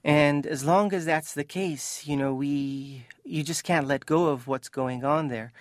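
A man's voice: mid-range voice, no room sound. Earnest male author, dry sound over music. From Iran. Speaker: author, interview guest. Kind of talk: thoughtful interview talk and book reading.

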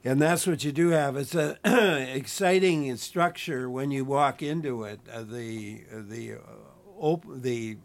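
A man's voice: medium pitch, takes it slowly, hardly any pauses. A man hosting a call-in radio show, friendly, with some hesitant filler. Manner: friendly, fills gaps with hesitations. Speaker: radio talk show host.

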